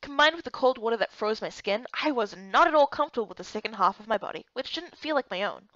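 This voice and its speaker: A woman reading scripted first-person story narration in an expressive, dramatized manner. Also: a little high, quick pace, dry recording, highs cut off. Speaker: narrator and reader of a visual novel.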